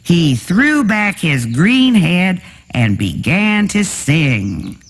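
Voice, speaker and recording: A man reads a children's bedtime story expressively. His delivery is unhurried and lively in pitch, and his voice has a husky grain.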